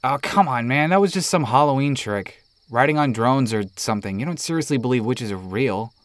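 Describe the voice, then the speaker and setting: A man plays an outspoken young skeptic in an audio drama. His delivery is casual and incredulous at a medium pitch, recorded close and dry. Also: expressive, fairly quick, no room sound, natural voice. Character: skeptical, outspoken young male party-goer.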